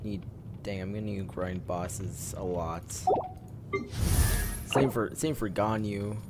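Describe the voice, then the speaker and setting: A man's voice: lowish voice, steady tone, slow pace. A young man casually commentating a live game stream, rambling and often repeating himself. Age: teenager or young adult. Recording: game music over the voice. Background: game music and sound effects.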